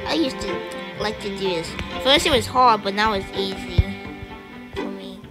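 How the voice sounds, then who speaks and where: A woman chatting excitedly through casual gameplay commentary, with sudden squeals. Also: medium pitch, moderate pace. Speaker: gamer. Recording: game music behind. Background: game music.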